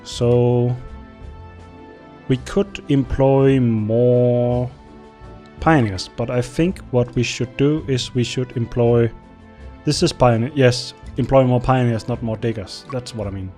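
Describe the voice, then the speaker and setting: A man's voice: mid-range voice, fairly even intonation, takes it slowly, mellow, faint music behind. A young man whose first language is Danish, casually commenting on a strategy game as he plays, thinking aloud and talking to his units. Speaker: gameplay commentator. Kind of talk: casual let's-play commentary.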